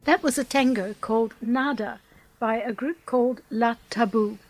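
Female radio host, slightly high voice, scripted presenting. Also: darkish tone, low-quality recording.

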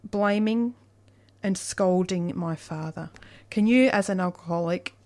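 A woman's voice: lowish voice, unhurried, warm tone. A woman hosting a conversation, asking her guest questions in a curious, conversational way. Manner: inquisitive, conversational. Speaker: host. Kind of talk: interview conversation.

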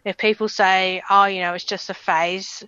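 A woman's voice: mid-range voice, level tone, moderate pace, pushed and bright, smooth, dry recording, dull audio. A woman speaking earnestly as an advocacy guest on a radio interview. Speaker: guest, advocacy group president.

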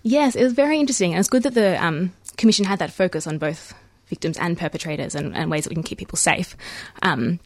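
Australian woman, 30s, low voice, measured radio guest, dry studio sound. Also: dry recording. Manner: measured, explanatory, frequent ums. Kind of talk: radio interview.

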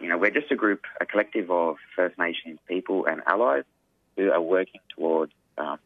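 A man, an activist guest speaking earnestly in a radio interview, his voice croaky. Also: deep voice, no echo. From Australia. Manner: earnest, emphatic, frequent "you know" fillers. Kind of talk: radio interview over phone. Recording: tinny phone line, dry.

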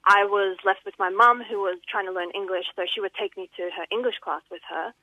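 A woman's voice: medium pitch, steady tone, brisk, no room sound, phone-quality sound. A young woman from Iran, a radio guest who talks in a chatty, slightly nervous way. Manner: chatty, giggly, a bit nervous. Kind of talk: casual radio interview.

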